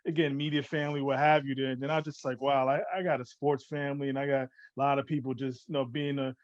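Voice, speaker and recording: A man's voice: mid-range voice, little pitch movement, fast talker, no room sound, dull audio. A man from New Jersey hosting a casual interview, eager and chatty, who keeps saying "like I said" and laughs often. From New Jersey, born in Newark, raised in Orange. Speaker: interview host.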